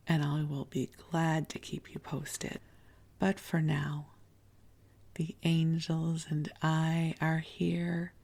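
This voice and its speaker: A middle-aged woman with a froggy morning voice hosts a sleep podcast from bed. She talks very slowly and evenly, slipping into a husky half-whisper. The tone stays low and flat, with a light gravelly fry. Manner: slow, soothing, partly whispered. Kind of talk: soft-spoken sleep podcast talk. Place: bedroom, under a blanket fort.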